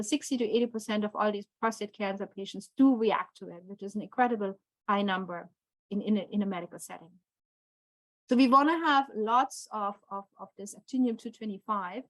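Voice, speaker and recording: A woman's voice: fairly high pitch, dry recording, low-quality recording. A woman from Germany with a German accent, giving an online physics lecture with frequent fillers and restarts. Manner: hesitant, many fillers and restarts.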